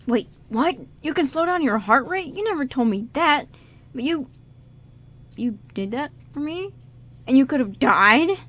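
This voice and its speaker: A woman's voice: high voice, lively intonation, measured pace, a bit bright. A woman playing an insecure, earnest young man in an amateur radio drama. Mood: shocked, concerned. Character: insecure, guilt-ridden young man, a loyal buddy.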